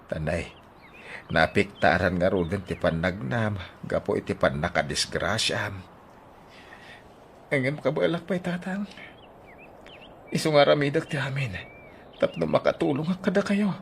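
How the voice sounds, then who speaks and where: A man's voice: medium pitch, animated, a little slow, vocal fry, pauses often, a little hiss or hum. A man acting in an Ilocano audio drama, speaking in a hesitant, emotional way.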